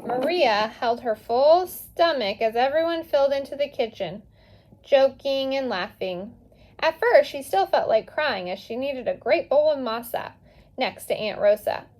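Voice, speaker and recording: A woman reading a children's story aloud in an animated, expressive way with emphatic pauses. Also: sits high. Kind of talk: animated children's read-aloud with casual asides.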